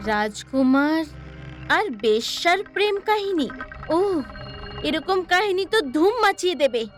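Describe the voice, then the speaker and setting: A woman playing a curious, easily frightened young woman in a horror audio story, with a wondering, expressive delivery. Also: extremely high pitch, takes it slowly, faint music behind, heavily acted. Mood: excited.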